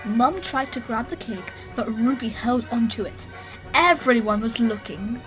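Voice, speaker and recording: A woman reading a children's story aloud in an expressive narrating style. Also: on the high side, a little slow. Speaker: storybook reader. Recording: roomy echo, music.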